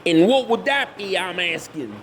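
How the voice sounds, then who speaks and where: A cantankerous hillbilly moonshiner with a very high, swooping voice. He blusters and bosses in a drawl, folksy and full of insults. It is a comedy audio play with faint noise behind. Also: expressive, normal speed, a bit bright. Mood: bossy, irritated. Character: bossy, cantankerous hillbilly moonshiner man, older brother. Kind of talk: comedy audio drama acting.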